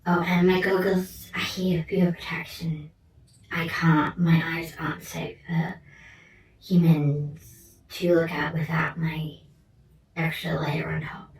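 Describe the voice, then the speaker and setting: Very slow, whispery woman playing a gentle alien. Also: sits low, flat delivery, vocal fry, exaggerated voice. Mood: gently curious. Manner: soft, slow, soothing, often slipping into whisper. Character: gentle, curious female alien researcher from another planet. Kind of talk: ASMR roleplay, soft-spoken and whispered. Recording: roomy echo, distant mic, faint noise.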